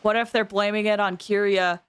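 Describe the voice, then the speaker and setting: A woman's voice: medium pitch, moderate pace, clear, resonant tone. A woman streaming a game with casual, exclamatory commentary, often musing aloud. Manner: animated, exclamatory, muses aloud. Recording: faint game music behind.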